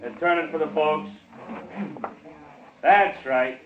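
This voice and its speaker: An actor playing a weary, pleading auctioneer in a 1950s radio drama, projecting repetitive sales patter. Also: male voice, a little high, takes it slowly. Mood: coaxing. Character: weary pleading auctioneer working a reluctant room. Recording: noisy echoey tinny old radio recording.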